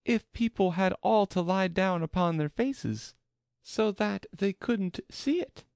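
A man's voice: high voice, slow, creaky, darkish tone, no echo, clean studio sound, pitched above the performer's own voice, heavily acted. A man voicing a polite but bold and decided little girl in a storybook. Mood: puzzled.

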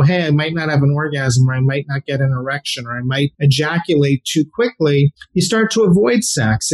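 A man answering interview questions, explaining at length with frequent repeated words and fillers. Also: a little high. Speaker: sex therapist guest.

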